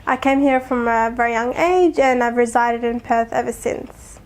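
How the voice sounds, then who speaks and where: A young woman from Afghanistan, speaking earnestly and thoughtfully in an interview, with soft laughs and frequent pauses to think. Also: on the high side, steady tone. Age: young adult. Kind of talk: reflective personal testimony.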